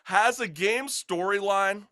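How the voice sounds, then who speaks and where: A man hosting a casual talk show in an animated, slangy, hyped-up way. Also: high voice, slow.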